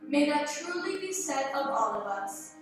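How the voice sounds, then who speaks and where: A woman playing an earnest, gentle storyteller, narrating a radio play from a script. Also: high-pitched, big pitch swings, a little slow. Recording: echoey stage, distant mic, music behind.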